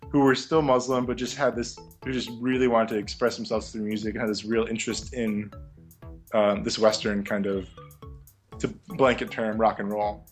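A young man, once a music teacher, answers interview questions in a relaxed, reflective way, often pausing with fillers and restarting his sentences. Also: lowish voice. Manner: reflective, many fillers and restarts, laughs lightly. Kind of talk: casual conversational interview.

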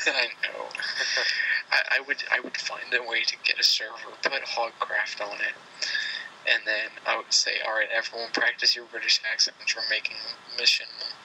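Joking bright-voiced man. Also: medium pitch, level tone, normal speed, a little hiss or hum, poor audio. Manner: joking, enthusiastic. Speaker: podcast co-host. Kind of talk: casual chat.

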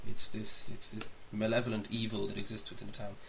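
A man's voice: on the low side, completely flat delivery, fast, rough recording. A man co-hosting a podcast, casually discussing films and games in a rambling, opinionated way as he thinks aloud. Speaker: podcast co-host. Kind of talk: casual film and game discussion. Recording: clear background hiss.

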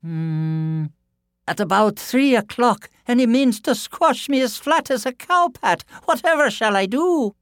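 A man voicing a frightened, cowardly giant husband in a storybook tale, whimpering and fretful in a high, strained voice. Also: sing-song, normal speed, hardly any pauses, clean tone, no echo, clean studio sound. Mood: panicky.

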